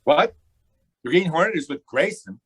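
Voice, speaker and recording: An actor playing a cocky racketeer boss in an old-time radio drama, brash and slangy in delivery. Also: male voice, mid-range voice, expressive, fairly quick, a bit bright. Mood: alarmed, scheming.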